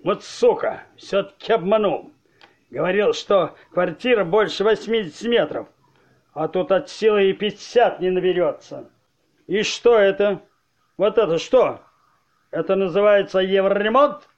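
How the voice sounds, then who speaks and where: Actor as high-pitched, brusque thuggish enforcer. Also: male voice, moderate pace, highs cut off, lightly acted. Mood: gruff, annoyed. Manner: blunt, slangy, unceremonious. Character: brusque, cynical thuggish male debt enforcer. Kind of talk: amateur audio play acting.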